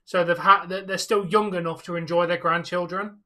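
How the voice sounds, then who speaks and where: A man's voice: high voice, steady tone, normal speed, bright, forceful tone. A man in his late twenties with an English accent, co-hosting a live hockey reaction stream in casual, teasing banter. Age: 20s. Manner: joking, teasing, chatty.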